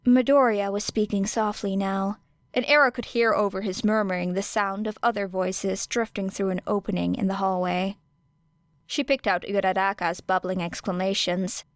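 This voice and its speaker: A woman narrating an audiobook of fan fiction. She reads in a measured, expressive way at a middle pitch. The recording is dry and close. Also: no echo. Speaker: podfic reader.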